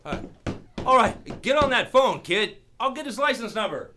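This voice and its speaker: A man playing a laconic, wry private detective in a radio play, terse and deadpan, with music under him. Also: very high voice, normal speed, slight background noise, full character voice. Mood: urgent. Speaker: lead actor and narrator. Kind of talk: hardboiled detective radio play, narration and dialogue.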